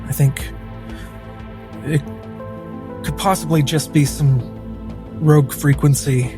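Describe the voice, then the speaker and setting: Slow, hesitant spaceship crewman, played by a man. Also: fairly high pitch, steady tone. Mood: uneasy, cautious. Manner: hesitant, halting. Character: cautious, reassuring man on a spaceship crew. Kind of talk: improvised cinematic roleplay. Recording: music bed behind the voice.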